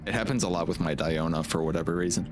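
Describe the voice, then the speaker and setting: A man's voice: sits low, quick pace. A man livestreaming a video game, chatting in a casual, laid-back and sarcastic way with some swearing. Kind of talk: casual gaming commentary with swearing. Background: game music.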